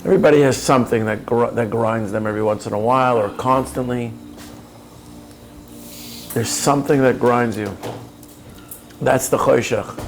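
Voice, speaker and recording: Earnest gravelly man talking straight to you. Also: medium pitch, normal speed, long pauses, slight background noise. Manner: earnest, probing, speaks to listener directly. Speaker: speaker on personal growth. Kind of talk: reflective coaching talk.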